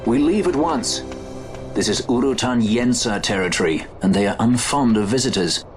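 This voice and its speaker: A man's voice: mid-range voice, moderate pace, lightly acted. A man voicing a suave, witty young sky pirate in a video game, with a dry, self-assured manner. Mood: wry. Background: game soundtrack music.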